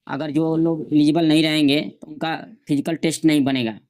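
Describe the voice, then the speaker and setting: Male, slightly high pitch, very monotone, instructional, repetitive, informal tutorial narration. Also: brisk. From India. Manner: repetitive, instructional, reading out notice details. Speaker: online centre presenter explaining job notifications.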